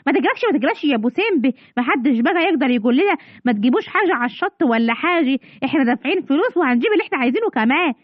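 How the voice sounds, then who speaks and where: A woman's voice: high voice, animated, racing, few pauses, no room sound, lo-fi sound, pitched below the performer's own voice. Woman voicing a boastful cartoon mom. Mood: fussing. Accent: Egyptian Arabic. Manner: boastful, argumentative. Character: proud bickering cartoon mother.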